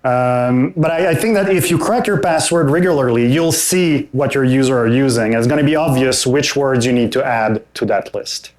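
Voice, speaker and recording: A man presenting a technical security talk at a conference and taking audience questions, relaxed and thinking aloud with frequent hesitations and restarts. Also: mid-range voice, moderate pace, hardly any pauses. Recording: roomy hall sound.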